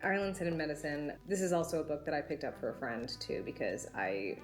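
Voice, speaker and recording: A woman's voice: low voice, sing-song, fast talker, soft background music. A young woman, chatting enthusiastically about books in a casual vlog. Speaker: book vlogger.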